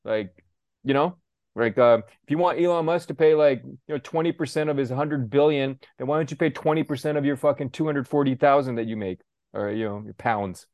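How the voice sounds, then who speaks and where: A man's voice: mid-range voice, darkish tone, dry recording, clean studio sound. A young man in casual podcast chat, blunt and profane, ranting with lots of fillers. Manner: blunt, profane, fast-shifting rants. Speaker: podcast co-host.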